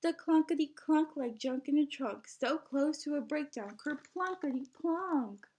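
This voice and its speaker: A woman reading a children's picture book aloud in an animated teacherly way, guiding young listeners with questions and prompts. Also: high voice, a little slow.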